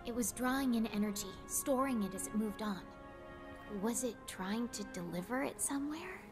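Game role: caring young female apprentice adventurer played by a woman, fairly high voice, earnest, music behind. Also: expressive, moderate pace, big-room sound, natural voice. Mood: thoughtful. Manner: earnest, emotional. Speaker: game voice actor. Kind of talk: dramatic video game cutscene acting.

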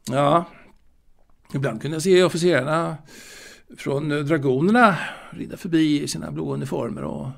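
Male actor as elderly male storyteller, raised pitch, creaky gravelly voice, halting, wistful, musing, audio drama monologue. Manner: halting, musing, with hesitations and repeated words. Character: old man wistfully reminiscing about his 1920s Stockholm boyhood.

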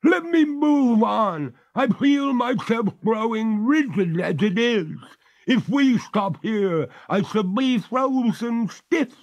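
A man voicing a genteel female water ghost who pleads and protests in a high, drawn-out voice. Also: lively intonation, lo-fi sound, exaggerated voice. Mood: pleading.

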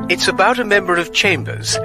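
An actor playing a distressed, halting British head of barristers' chambers in a radio drama. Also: male voice, high-pitched, music in the background, natural voice. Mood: shaken, distressed. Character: shaken, hesitant male head of barristers' chambers.

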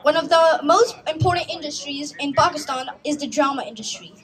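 High-voiced young speaker, earnest recital. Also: female voice, a little fast, a bit bright, rough recording. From United States. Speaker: youth speaker. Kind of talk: prepared speech read aloud. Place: outdoor stage in a park.

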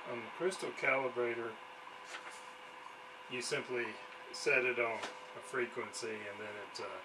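A man giving a casual hands-on demonstration, haltingly thinking aloud as he works. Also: fairly low pitch, very noisy. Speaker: radio restoration hobbyist presenter. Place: small indoor home workshop.

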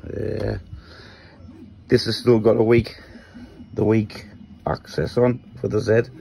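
A man from Newcastle with a Geordie accent and a croaky voice, casually talking through his workshop project while filming on a handheld phone in a small shed. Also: on the low side, little pitch movement, takes it slowly, warm tone, a little hiss or hum, low-quality recording. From Newcastle, England. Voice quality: croaky, gravelly voice. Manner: rambling, explains while handling parts. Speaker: maker vlogger.

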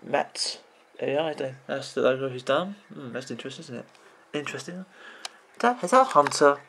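A man in his thirties with a croaky voice, rambling off the cuff as he vlogs about video games at his computer, full of restarts and "you know"s. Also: mid-range voice, lively intonation, normal speed, pushed and bright, slight background noise. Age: 30s. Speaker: video game vlogger. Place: indoors at a computer.

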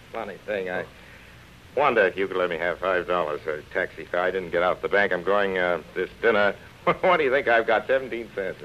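A man's voice: sits low, a little fast, natural voice. An actor playing a vain, proud stage star down on his luck, self-important, in a 1930s radio drama. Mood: embarrassed. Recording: muffled, hissy 1930s radio recording.

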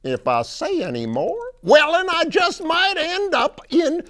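A cheerful, folksy bridegroom frog pitches his voice very high and swoops through rhymes in a singsong drawl. He is a proud, loud poet from a puppet-style children's story. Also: huge pitch swings, a little slow, full character voice.

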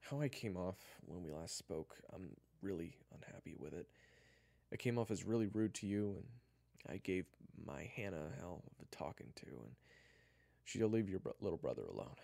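A man voicing a smug, taunting schoolboy rival with a falsely polite, smooth-talking manner in a narrated story. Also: low voice, expressive, moderate pace, dark tone, dry recording, voice pushed up, full character voice. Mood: ingratiating.

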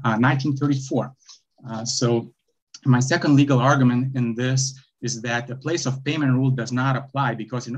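A young man with a Lithuanian accent arguing his own appeal over a video call, halting, with many fillers and restarts. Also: mid-range voice. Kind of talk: remote oral argument before a court. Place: bright indoor room, video call. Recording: dull lo-fi video call audio.

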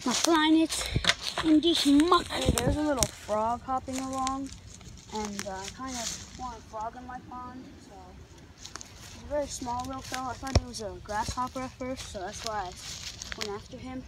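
A teenage boy vlogging outdoors in the woods on a handheld phone, chatty and excitable, cursing under his breath as he works. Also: very high voice, moderate pace. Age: teens. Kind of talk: casual outdoor vlog. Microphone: handheld phone camera. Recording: loud outdoor noise on phone.

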